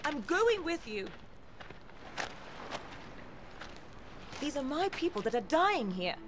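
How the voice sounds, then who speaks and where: A woman's voice: sits high, big pitch swings, moderate pace, background noise. An actress playing a resolute, guarded young female agent in a video game cutscene, earnest and firm. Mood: urgent, concerned. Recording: game audio under loud music.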